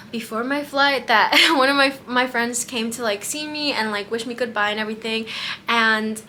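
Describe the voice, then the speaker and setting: A woman's voice: on the high side, moderate pace, sharp tone, slight background noise. A young American woman whose first language was Spanish, chatting casually about her travels with lots of fillers and acted-out quotes. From United States. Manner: chatty, many "like" fillers, acts out quoted dialogue. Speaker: travel vlogger. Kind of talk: casual storytelling vlog.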